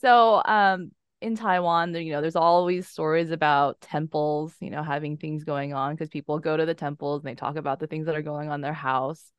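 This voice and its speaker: A woman with a low voice talks in a casual podcast roundtable. She hunts for words with restarts, then settles into a steady telling of a story. Her sound is dry and close, with no room echo. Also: normal speed, hardly any pauses, smooth. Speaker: podcast guest, horror writer.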